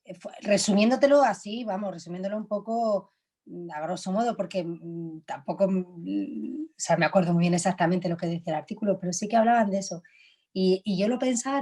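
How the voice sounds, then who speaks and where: A woman's voice: medium pitch, darkish tone. Chatty woman. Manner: reflective, laughs often, frequent fillers. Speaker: actress, interview guest. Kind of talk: relaxed conversational interview answers.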